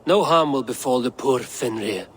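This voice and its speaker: A man's voice: mid-range voice, expressive, normal speed, breathy edge. An actor playing a stern, calculating king of the gods in a fantasy game, grave and terse. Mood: cool, resolute. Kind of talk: cinematic fantasy game acting.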